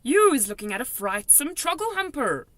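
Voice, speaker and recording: A gentle old giant with jumbled speech and made-up words, put on by a woman reading a storybook. The voice is high, bright and leaps all over its range. It is recorded close and dry. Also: big pitch swings, moderate pace, no echo. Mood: fierce.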